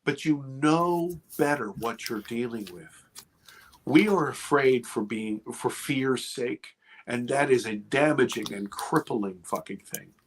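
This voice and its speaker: A man's voice: medium pitch, slow pace, slight background noise, poor audio. A man in his fifties, a guest on a podcast, talking casually and with energy, swearing freely and checking in with "right?" and "okay". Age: 50s.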